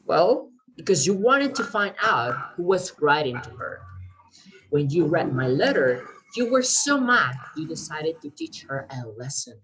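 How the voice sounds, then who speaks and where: An eager crime writer read by a woman in a readers theater play, with a low voice. The delivery is earnest and persuasive, a little halting, as if laying out a careful scheme to rescue a friend. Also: sing-song, a bit bright, slight background noise, full character voice, low-quality recording. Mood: confident, reasoning. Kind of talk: amateur readers theater performance.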